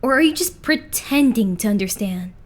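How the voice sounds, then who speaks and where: A woman's voice: on the high side, expressive, moderate pace, close to an everyday voice. A woman voicing an anxious, melodramatic teenage boy idol in an anime game fandub, whiny and flustered.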